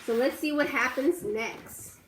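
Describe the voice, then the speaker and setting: A woman teacher reading a rhyming storybook aloud to young children, pausing to ask the class questions. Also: high-pitched, reverberant, slight background noise, low-quality recording.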